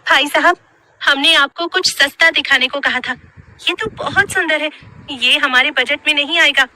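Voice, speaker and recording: A woman voicing an emotional, pleading young wife in an animated horror story. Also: high voice, moderate pace, pitched above the performer's own voice, low-quality recording. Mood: surprised. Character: frightened, scolding young wife in a haunted house.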